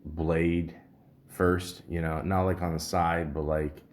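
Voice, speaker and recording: Deep-voiced man, muffled and grumbling. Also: flat delivery, slow, darkish tone. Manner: rambling, grumbling, profane. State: sore throat. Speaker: podcast host. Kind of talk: casual solo podcast rambling, reading symptom lists aloud.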